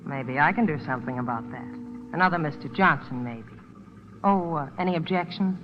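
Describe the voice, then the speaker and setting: An actress playing a cool, insolent young American woman in 1940s radio drama, deadpan, sassy and low-voiced. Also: a little fast, faint music behind, lightly acted. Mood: casual, teasing. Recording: muffled old radio with clear hiss.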